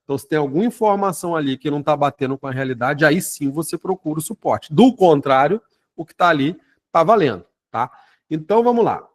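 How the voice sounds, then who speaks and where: A man in his forties explains things to his marketing network in a loose, conversational way. His voice sits a little high for a man. He keeps adding quick tag questions and stumbles into restarts as he goes. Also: lively intonation. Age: 40s. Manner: repeats words and restarts often. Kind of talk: informal explanatory talk to a sales network.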